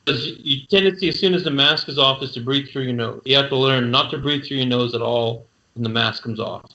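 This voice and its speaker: Bright-toned man on a dull line. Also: medium pitch, fairly quick. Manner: explains practical know-how plainly. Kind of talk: casual live-stream chat.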